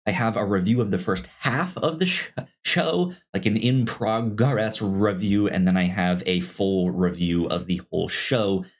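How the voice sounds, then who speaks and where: A man's voice: lowish voice, unhurried. A man hosting a casual podcast, chatting with frequent stammers and repeated words. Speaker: podcast host. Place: indoor room, recorded in person.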